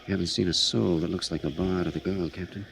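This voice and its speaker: An actor playing a laconic, watchful narcotics agent in a 1940s radio crime drama. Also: male voice, low-pitched, steady tone, fast, creaky, very noisy. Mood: watchful, low-key.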